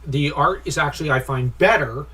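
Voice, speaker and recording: A man's voice: fairly high pitch, normal speed, sharp tone, slightly rough. A man giving an informal comic review in a nitpicky, critical way, given to sighing. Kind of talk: informal comic review commentary with read-out dialogue.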